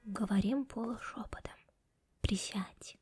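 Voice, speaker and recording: A young woman voicing a stern, sharp-tongued female office boss in an ASMR roleplay, whispering softly close to the mic. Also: a little high, a little slow, natural voice. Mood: hushed, secretive. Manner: scolding and sarcastic to staff.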